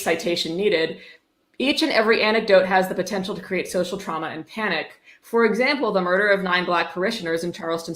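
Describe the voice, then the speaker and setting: A woman's voice: medium pitch, fairly bright tone. A woman hosting a casual livestream, reading aloud and reacting in a blunt, exasperated, sweary way. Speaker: livestream host. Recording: roomy, echoey sound.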